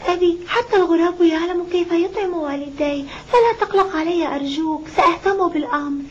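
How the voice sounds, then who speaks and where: An actress playing a devoted, dutiful little daughter in a dubbed cartoon, earnest and polite. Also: female voice, very high voice, quick pace. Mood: earnest, caring. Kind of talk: dubbed cartoon acting in formal Arabic. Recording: muffled old cartoon soundtrack with background noise.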